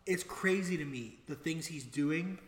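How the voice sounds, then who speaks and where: A young adult man co-hosting a podcast in casual chat, excitable and rambling, swearing freely. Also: a little high, unhurried. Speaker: podcast co-host. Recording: echoey room with long reverb.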